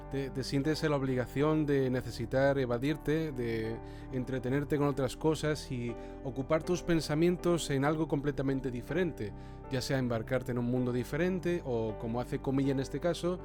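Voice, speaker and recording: A man with an average-pitched voice. He talks in a reflective, informal way while guitar music plays clearly behind him. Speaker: amateur voice actor. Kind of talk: reflective interview talk.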